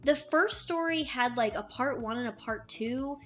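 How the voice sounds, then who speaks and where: A woman's voice: fairly high pitch, normal speed. A woman co-hosting an audiobook review show in casual chat, speaking in an emphatic, agreeable way. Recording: music under the voice.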